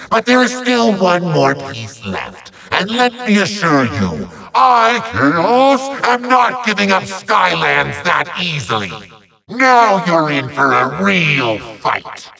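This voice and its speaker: A man voicing a bombastic, petulant little evil sorcerer from a video game, ranting and gloating in hammy, drawn-out threats with a gravelly, sharp voice. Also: fairly high pitch, sing-song, far from the mic, crowd noise, full character voice, rough recording. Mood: menacing, smug. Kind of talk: theatrical video game villain monologue.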